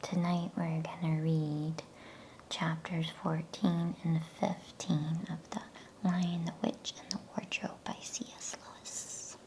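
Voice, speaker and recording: Slow, whispering woman reading ASMR bedtime stories. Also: low voice, flat delivery, low-quality recording. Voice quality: breathy, unvoiced whisper. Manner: soothing, unhurried, gentle. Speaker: ASMR bedtime story reader. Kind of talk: whispered ASMR reading aloud of a children's novel.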